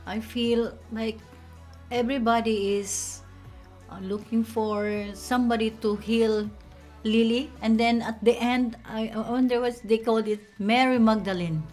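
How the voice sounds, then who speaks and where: A woman, chatting casually in a slow, gentle way. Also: on the high side, fairly even intonation, soft background music. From Philippines. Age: 50s. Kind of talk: casual chat.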